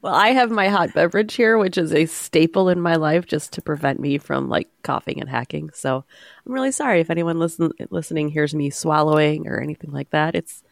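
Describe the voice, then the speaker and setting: Low gravelly woman with a stuffy, nasal voice. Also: brisk, no room sound, clean studio sound. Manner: storytelling, restarts often. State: nasal from a cold. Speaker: podcast co-host, author. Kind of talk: casual chat.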